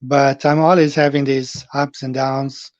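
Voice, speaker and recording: A man's voice: medium pitch, level tone, unhurried, a little mellow, no echo, dull audio. A man from Brazil whose first language is Portuguese, speaking English with a Brazilian accent as he hosts a casual livestream Q&A, rambling with fillers and restarts. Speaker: livestream host.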